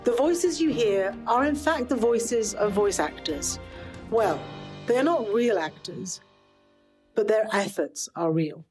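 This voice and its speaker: A woman's voice: mid-range voice, animated, slow, a little mellow, faint music behind. A woman reading scripted mock-documentary narration in a formal, dry manner. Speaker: narrator giving disclaimer.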